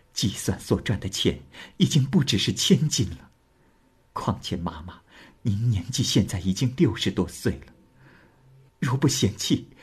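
A man's voice: mid-range voice, breathy edge. A man voicing a remorseful young woman courtesan who speaks earnestly and pleadingly.

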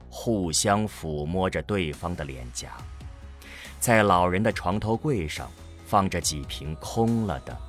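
A man narrating a science fiction radio drama in a dramatic scripted storytelling style. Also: low voice.

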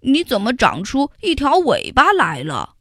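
A woman voicing a friendly, honest little ant who explains things slowly and patiently in a lower voice. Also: huge pitch swings, a bit bright, no echo, studio quality, exaggerated voice. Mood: earnest.

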